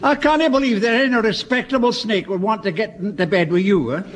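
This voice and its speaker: An actor playing a scornful, exclamatory older soldier in a broadcast sitcom. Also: male voice, sits high, fairly quick, heavy background noise, lightly acted. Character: dour, mocking old Scottish male Home Guard soldier.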